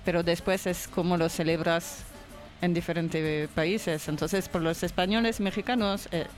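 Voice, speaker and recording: Co-host explaining calmly on radio. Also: mid-range voice. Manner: brief agreeing interjections, patient explaining. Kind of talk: casual on-air chat.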